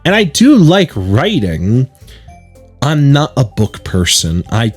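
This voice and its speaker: Guy doing chatty lets play commentary, bit husky, faint music under it. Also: mid-range voice, wildly swooping pitch, slow pace. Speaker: let's play streamer. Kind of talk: let's play commentary mixed with reading game text aloud.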